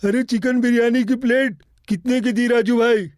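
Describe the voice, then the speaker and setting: A man's voice: extremely high pitch, steady tone, moderate pace, a little mellow. A man voicing a cheeky, thrifty village customer in a cartoon moral story, with colloquial banter. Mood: incredulous. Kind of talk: Hindi animated moral story dialogue.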